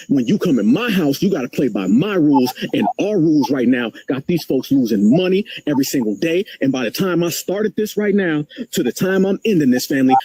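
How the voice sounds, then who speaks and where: A man giving a fiery, defiant speech on a live stream, with emphatic rises in pitch. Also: mellow, husky, dry recording. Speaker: guest speaker.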